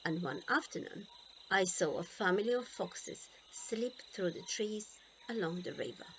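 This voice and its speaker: A woman reading a children's storybook aloud in an expressive, deliberate way, leaving pauses between phrases. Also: mid-range voice, slight background noise, poor audio. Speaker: storybook reader.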